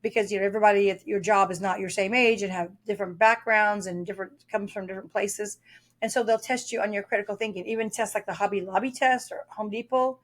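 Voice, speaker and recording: A woman's voice: mid-range voice, fairly even intonation, quick pace. Chatty woman explaining test prep. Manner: talkative, explains with stories, frequent fillers. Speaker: test prep author and guest. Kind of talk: podcast interview.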